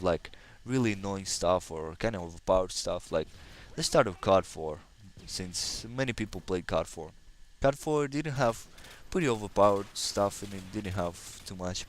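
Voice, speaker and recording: A man's voice: on the low side, a little hiss or hum. A man from Kazakhstan, a native Russian speaker with a slight Russian accent, giving rambling, hesitant gameplay commentary full of fillers. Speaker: gaming channel host.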